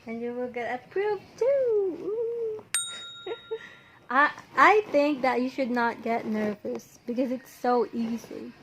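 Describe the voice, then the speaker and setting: A woman from the Philippines with a Filipino accent, telling a story in a casual, chatty, animated and giggly way. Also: high voice, slow, rough recording. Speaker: vlogger recounting her visa experience. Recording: faint background noise.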